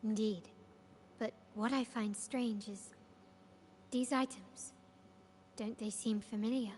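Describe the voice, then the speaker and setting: A woman's voice: on the high side, fairly even intonation, darkish tone, a little hiss or hum. An actress playing a composed, formal, analytical woman investigator in a video game, speaking politely and precisely. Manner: measured, polite, articulate. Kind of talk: scripted video game dialogue.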